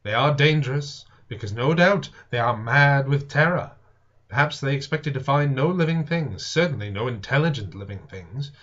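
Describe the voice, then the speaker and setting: A man voicing a confident, complacent Victorian gentleman in an audiobook, pompous and emphatic in his measured delivery. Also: medium pitch, lively intonation, lo-fi sound. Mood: smug reassurance.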